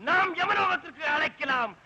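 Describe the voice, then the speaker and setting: A man's voice: extremely high pitch, pushed and bright, lo-fi sound, full character voice. A man playing Yama, the pompous lord of death, in a theatrical, grandiloquent, scolding manner for a mythological comedy film.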